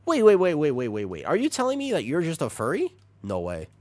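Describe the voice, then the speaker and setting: A man streaming a video game, chatting with viewers, reacting with excited high bursts and then dropping into quiet asides. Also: a little fast, no room sound. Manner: animated, jumps into high excited bursts while reading chat; drops to low, quiet asides while setting up. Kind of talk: live-stream chat while gaming.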